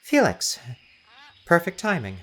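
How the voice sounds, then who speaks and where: A prudent professor on a jungle expedition voiced by a male narrator in an audiobook. The voice is lifted well above a low male register and is sharp, precise and formal, with an insistent manner. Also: wildly swooping pitch, slow pace, full character voice. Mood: nervous politeness. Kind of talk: audiobook character acting.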